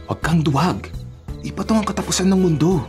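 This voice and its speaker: Woman voicing an animated, smooth-talking Filipino suitor. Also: lowish voice, a little fast, soft background music, close to an everyday voice. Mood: earnest, encouraging.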